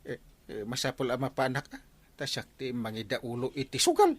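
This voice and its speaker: An actor playing a foxy middle-aged village captain who loves gambling, with hemming, stammering interjections, in a radio comedy drama. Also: male voice, mid-range voice, wildly swooping pitch, fairly quick. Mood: scheming. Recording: music behind the voice.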